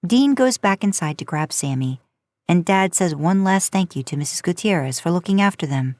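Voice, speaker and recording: A woman narrates scripted fiction with an informal, conversational touch. Her voice sits somewhat low. The recording is very dry, with little room sound around her. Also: lively intonation, moderate pace, darkish tone, no room sound. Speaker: podcast host narrating fan fiction. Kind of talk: scripted story narration, audiobook style.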